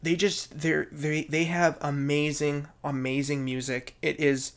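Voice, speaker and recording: A man's voice: a little high, flat delivery, measured pace. A man co-hosting a casual podcast, chatting eagerly and repeating words for emphasis. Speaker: podcast co-host. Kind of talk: casual chat.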